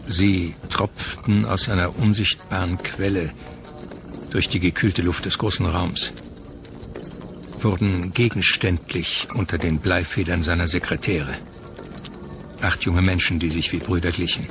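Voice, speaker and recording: A man's voice: sits low, fairly even intonation, measured pace, creaky. A man reading literary prose as the narrator of a dramatic audio piece. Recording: music under the voice. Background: music.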